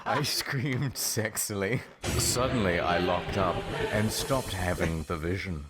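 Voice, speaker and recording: A man's voice: deep voice, slow, very noisy. A man reading fan fiction mock-seriously as a melodramatic, sulky, foul-mouthed teenage goth girl narrator.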